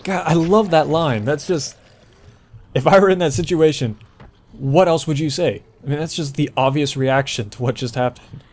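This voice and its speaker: A man's voice: a little high, moderate pace. Casual man giving game walkthrough commentary. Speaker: gameplay walkthrough host. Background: video game ambience.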